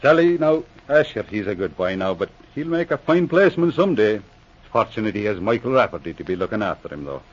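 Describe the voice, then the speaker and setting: A man's voice: lowish voice, very animated, fairly quick, slightly rough. Old Irish lawman, grumbling and sly, folksy blarney, 1940s radio drama, dull vintage recording. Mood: gleeful. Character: grumbling, sly, nostalgic old Irish-born police veteran.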